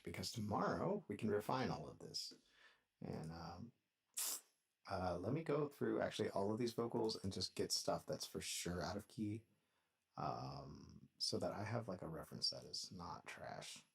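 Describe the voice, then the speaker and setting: A man's voice: low voice, big pitch swings, normal speed, vocal fry, breathy edge. A man working out a song in his studio, talking casually and thinking aloud with a self-deprecating, rambling manner. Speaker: songwriter and producer.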